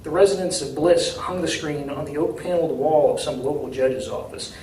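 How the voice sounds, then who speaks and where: A man giving a live reading of literary fiction in a measured, deliberate way, recorded from a distance in a room. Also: fairly high pitch, a little mellow. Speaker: author reading from his novel. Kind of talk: live literary reading, dramatic first-person narration. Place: indoor reading at a university. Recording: distant, echoey room with faint noise.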